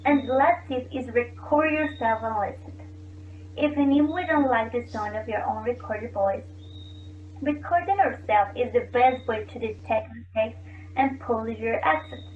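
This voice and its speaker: A woman's voice: a little high, moderate pace, slight background noise. A woman student reading a class presentation aloud with careful delivery. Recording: tinny, roomy and distant.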